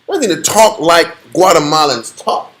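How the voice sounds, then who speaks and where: A man's voice: fairly high pitch, lively intonation, normal speed, fairly bright tone, a little hiss or hum. Slangy, joking Bible study leader, a man. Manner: blunt, joking, slangy, emphatic. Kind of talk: casual Bible teaching.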